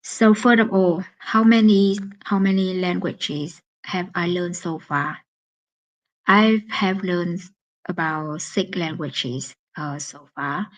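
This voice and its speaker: A woman with a Vietnamese accent, a native Vietnamese speaker hosting a casual solo live stream in English, halting and searching for words with many pauses. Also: lowish voice, low-quality recording.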